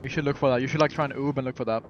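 A man's voice: medium pitch, fairly even intonation, very fast, highs cut off, background noise. A man playing as an esports team player, giving casual, urgent in-game callouts and often repeating short phrases. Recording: game voice chat over gunfire. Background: gunfire and game sounds.

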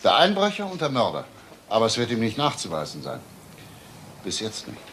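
An actor playing a probing, drily insistent police detective in a German crime radio play. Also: male voice, on the low side, wildly swooping pitch, brisk, fairly bright tone, a little hiss or hum. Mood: brisk.

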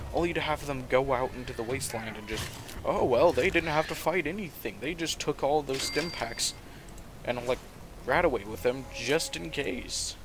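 A man casually commenting on his own gameplay at home, talking quietly and rambling, with the game's sound underneath. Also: mid-range voice, background noise. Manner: soft-spoken, rambling. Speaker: gaming channel host.

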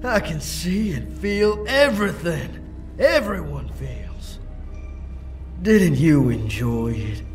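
A man's voice: on the high side, sing-song, takes it slowly. A man performs a male mad doctor infected by fungus in a comic dub. He is smug and manic, with a theatrical, coaxing delivery. He takes his time over each phrase, over a music bed. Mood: softly sinister.

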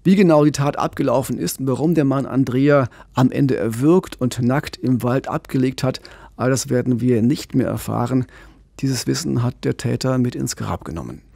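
Man, 40s, measured explainer, slightly rough voice, close studio mic, dead dry room. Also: medium pitch, mellow, no echo. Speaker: podcast co-host. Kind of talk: scripted true-crime narration. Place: small radio studio. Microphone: studio condenser mic with pop filter, headphones.

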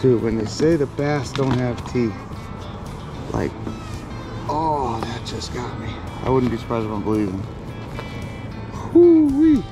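A man in his thirties, a fishing vlogger, chatting casually and swearing from his kayak, with excited whoops when a fish bites. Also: mid-range voice, slow pace, pauses often, music in the background. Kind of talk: casual outdoor vlog chat. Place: outdoors in a kayak on a river. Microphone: action camera on the kayak.

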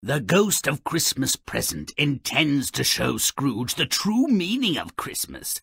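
A grubby, cynical imp narrating twisted fairy tales in a video game. The voice is raspy and harshly bright, drawn out at a slow, theatrical pace. The delivery is mocking and gleefully hammy. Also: male voice, fairly high pitch, dry recording, full character voice. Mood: scornful, gleeful. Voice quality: gravelly, raspy.

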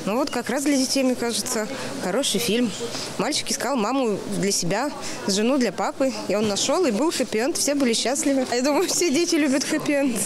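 A woman's voice: high-pitched, a little fast. A young woman giving an informal interview answer into a reporter's handheld microphone. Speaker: street interviewee. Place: indoor lobby. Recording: crowd noise behind.

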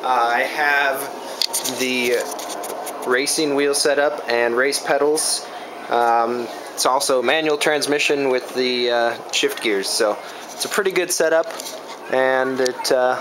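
A young man talks slowly and plainly through a home video demo, pausing on small fillers as he explains. His voice is caught by a camera mic across a room, so it sounds roomy, with clear background noise. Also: mid-range voice, recorded from a distance. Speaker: vlogger. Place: home room with a TV and game setup.

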